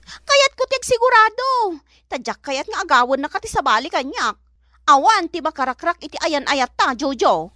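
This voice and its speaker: A woman's voice: high voice, big pitch swings, normal speed, sharp tone, no room sound, clean studio sound, full character voice. A woman playing a whiny, jealous young woman in an Ilocano comedy radio drama, with an Ilocano accent and melodramatic delivery. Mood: pleading. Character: pleading, jealous young woman fighting over her boyfriend.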